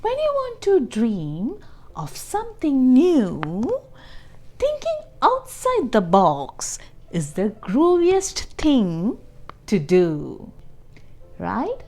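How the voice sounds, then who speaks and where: A woman reading a children's storybook aloud as host, expressively and deliberately, adding explanations and questions for young listeners. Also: sits high, huge pitch swings, mellow.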